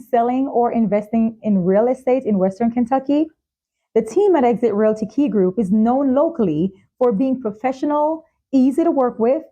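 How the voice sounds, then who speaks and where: A woman's voice: fairly high pitch, dark tone. A woman hosting a talk show and podcast, speaking as the presenter to guest and audience. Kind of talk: interview hosting.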